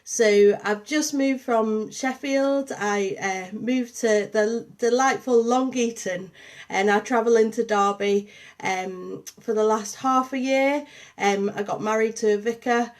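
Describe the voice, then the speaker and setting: A young woman with a Liverpool and St Helens accent, softened by years in Yorkshire, talks through a casual livestream. Her voice sits a little high, and she chats to viewers as she goes, with plenty of ums and uhs. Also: fairly even intonation, slow pace. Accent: Liverpool and St Helens with a touch of Yorkshire. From Liverpool, England. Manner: chatty, warm greetings to viewers, many ums. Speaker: artist and programme coordinator hosting a livestream.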